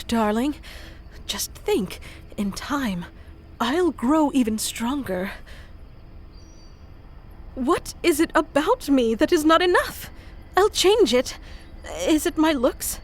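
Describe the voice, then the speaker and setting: A woman's voice: high-pitched, animated, takes it slowly, pauses often, a little hiss or hum. A woman voicing an obsessive, clingy and powerful female kitsune familiar in an intimate, theatrical ASMR roleplay. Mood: boastful, coaxing, then desperate pleading. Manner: intimate, theatrical, swinging between hushed and pleading.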